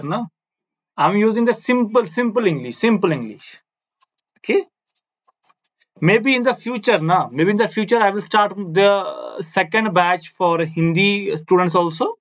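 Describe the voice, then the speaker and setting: A high-pitched male physics teacher whose first language is Kashmiri, explaining step by step in simple English. He repeats himself for emphasis and keeps asking "no?" to check that students follow. The recording sounds slightly muffled and lo-fi. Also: expressive, measured pace, fairly bright tone. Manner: repeats key phrases, asks "no?" for agreement. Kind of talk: blackboard physics lecture.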